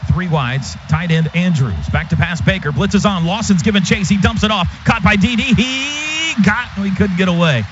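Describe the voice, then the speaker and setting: A man gives live football play-by-play on the radio in short, clipped phrases that rise on big plays. His voice sits fairly high. The broadcast sound is slightly dull, with faint noise behind him. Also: lively intonation, moderate pace, hardly any pauses. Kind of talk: live American football commentary. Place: stadium radio booth. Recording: noisy, muffled stadium radio broadcast.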